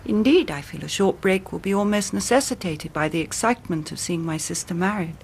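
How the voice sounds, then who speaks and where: A woman's voice: on the low side, animated, a little fast. Frightened Victorian young lady, English accent, formal, anxious, radio drama, slight noise. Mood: happy, reflective. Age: 30s. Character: frightened, well-bred Victorian young woman, agitated and earnest. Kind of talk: period radio drama acting.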